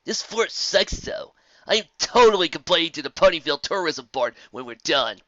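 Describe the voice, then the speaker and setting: A reader voicing a brash, boastful young mare DJ who talks loudly in slang. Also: medium pitch, lively intonation, normal speed, no room sound, dull audio, pitched above the performer's own voice. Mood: cocky.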